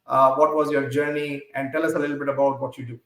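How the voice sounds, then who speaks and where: A man hosting a podcast interview, asking lively, quick questions with fillers. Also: mid-range voice. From Pakistan. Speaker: podcast host. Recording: echoey room with faint music.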